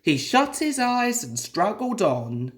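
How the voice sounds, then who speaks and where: A person reading a children's story aloud in a deliberate, dramatic storytelling style. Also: mid-range voice, sing-song. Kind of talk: expressive children's story narration.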